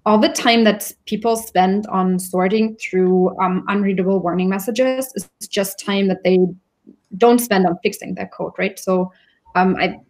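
A woman's voice: mid-range voice, steady tone, a little slow, darkish tone. A woman with a mid-range voice gives her opinion on an online panel. She explains conversationally and informally, with firm views. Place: room indoors, video call.

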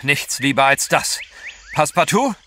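An actor playing a confident, decisive gentleman adventurer in a German audio drama. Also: a little high, animated, moderate pace. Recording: loud outdoor scene noise, clicks, animals.

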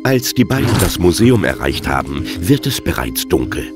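A man's voice: low-pitched, sing-song, moderate pace, over music. A man narrating a children's audio drama as a scripted storyteller.

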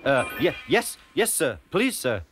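A man's voice: high voice, very animated, unhurried, voice pushed up. A man voicing a timid young male tank engine who stammers nervously and keeps saying "sir". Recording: music playing behind.

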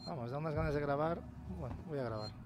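A man's voice: a little high, quick pace. A man with a Castilian accent from Spain giving casual let's-play commentary, colloquial and sweary, muttering to himself as he goes. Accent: Castilian Spanish from Spain. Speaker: gaming channel host. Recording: clearly noisy, game ambience behind.

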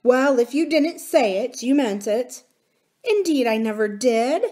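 A woman's voice: fairly high pitch, lively intonation, a little slow, warm tone, voice pushed up. A woman voicing a fussy motherly nurse who scolds and takes offence easily. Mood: huffy. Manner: huffy, scolding.

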